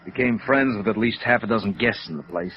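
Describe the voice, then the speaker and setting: An American actor playing a middle-aged, chagrined hotel operator in a 1950s radio drama, rueful and emphatic. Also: male voice, lowish voice, fairly quick, natural voice. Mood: humiliated, emphatic. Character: chagrined, rueful gray-haired hotel operator, thirty years in business. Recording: dull vintage radio recording.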